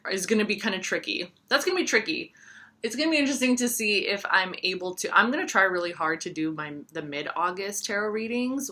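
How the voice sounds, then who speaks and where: Bright-voiced chatty woman in her thirties. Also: lowish voice. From United States. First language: Serbian. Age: 30s. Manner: rambling, filler-heavy, chatty. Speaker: astrologer and tarot reader. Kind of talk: casual talking-to-camera chat.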